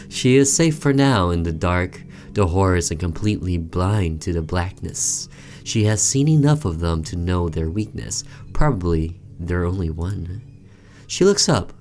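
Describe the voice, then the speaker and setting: A man's voice: low voice, a little mellow. A man hosting a gaming video, reading story text aloud like a storyteller and adding casual reactions.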